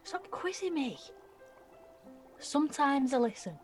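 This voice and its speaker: A young woman acting an excitable, mouthy and insecure nineteen-year-old girl in an audio drama, with slangy, blurting speech. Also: sits high, measured pace, faint music behind. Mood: excited.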